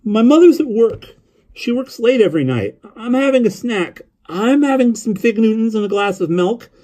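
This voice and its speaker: A man acts out a lonely little boy in a storybook reading. The voice sits well above an adult man's and bounces around in pitch. He is eager and guileless, rambling on and asking questions in a childlike way. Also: very animated, normal speed, mellow. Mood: lonely, hopeful. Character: lonely, eager, stunned young boy on the phone.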